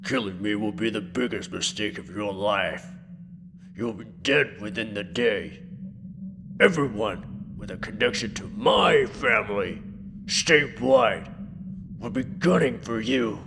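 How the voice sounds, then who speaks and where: A man's voice: lowish voice, unhurried. A man playing an older, coarse and commanding outlaw gang boss in a western audio drama. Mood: threatening. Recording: clear background ambience noise.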